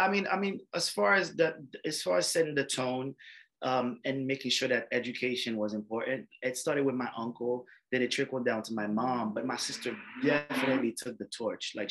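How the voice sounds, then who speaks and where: A man's voice: mid-range voice, a bit bright, low-quality recording. A man, an emergency doctor telling his life story in a casual interview, rambling with many fillers and restarts. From Haiti. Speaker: emergency medicine doctor, guest.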